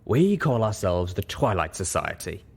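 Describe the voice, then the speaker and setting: A man voicing a refined, mysterious gentleman unicorn stallion, formal and polite without much haughtiness, in an audiobook story. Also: deep voice, very animated, normal speed, gravelly, husky, exaggerated voice. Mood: polite, measured.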